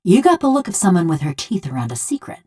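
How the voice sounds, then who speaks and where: Bitter rustic construct lady from a video game, lowish voice, swings up and down a lot, folksy and mocking. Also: sing-song, quick pace, a little mellow. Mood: dry, wry. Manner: folksy, drawling ungrammatical rustic speech, sardonic and taunting. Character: bitter, sardonic construct woman, rough rural killer with a grudge. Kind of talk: video game character dialogue.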